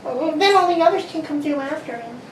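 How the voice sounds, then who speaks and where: A woman playing a brisk little girl absorbed in her game, in an amateur radio play reading. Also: high-pitched, animated. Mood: hurried. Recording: roomy, distant mic, faint background noise.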